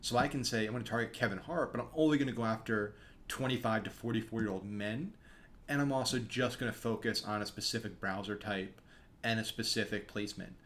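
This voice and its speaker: A young man teaching an online course over a screen share, talking quickly and casually with frequent fillers. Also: on the low side, a bit bright, slight background noise. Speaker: course instructor. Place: small room, indoors.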